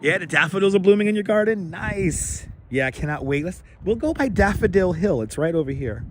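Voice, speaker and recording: A man with a raspy, slightly squeaky, hoarse voice, chatting casually and repeating himself as he hosts a walking livestream. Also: lively intonation, fairly quick. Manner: rambling, repeats phrases, greets viewers by turns. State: hoarse from overuse. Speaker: livestream host. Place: outdoors in a city park, walking. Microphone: wireless clip-on lavalier mic. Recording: clear outdoor ambient and traffic noise.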